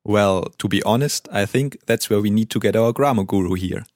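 A man with a fairly low voice teaches a language, setting sentences for a learner and correcting gently. His manner is calm and encouraging, recorded close and dry. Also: moderate pace, no echo. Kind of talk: language lesson dialogue.

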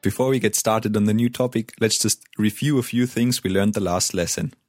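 A man's voice: lowish voice, fairly quick, no room sound, studio quality, faint music behind. A man co-hosting a language lesson, giving brief corrections and encouragement. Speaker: German language co-host.

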